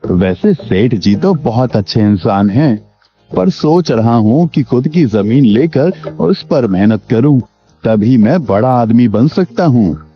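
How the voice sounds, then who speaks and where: A man's voice: mid-range voice, expressive, slow pace. A man playing an honest, humble village servant in a cartoon moral story, polite, earnest and deferential. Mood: thoughtful, hopeful. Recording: dull lo-fi audio with background music.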